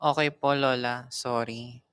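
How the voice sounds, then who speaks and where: A woman's voice: extremely low pitch, steady tone, unhurried, a bit bright, smooth, no room sound, voice pushed down. A woman voicing a naughty, whiny young boy who is stubborn and easily scared, in a read-aloud children's story. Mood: sheepish.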